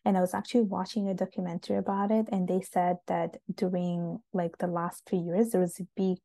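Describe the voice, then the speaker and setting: A young woman from Italy speaks in a low, mellow voice with a gravelly edge, her speech loose and full of fillers. The recording is dry and close. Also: little pitch movement, brisk, dry recording. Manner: chatty, many fillers like "like" and "uh". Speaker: guest. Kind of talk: casual interview conversation. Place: home in Montreal.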